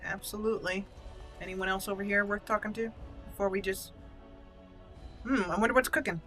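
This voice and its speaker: A woman's voice: medium pitch, steady tone, fairly quick, fairly bright tone, faint music behind. A woman giving casual gameplay commentary as the host of a roleplay channel. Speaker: game player and channel host.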